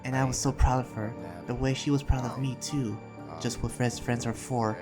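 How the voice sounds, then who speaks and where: A roleplayer voicing an earnest, troubled demon slayer, telling events in a halting, reflective recap with restarts. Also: medium pitch, fairly even intonation, natural voice. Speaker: roleplayer and video creator. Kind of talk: in-character recap narration and improvised roleplay. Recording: music under the voice. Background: music.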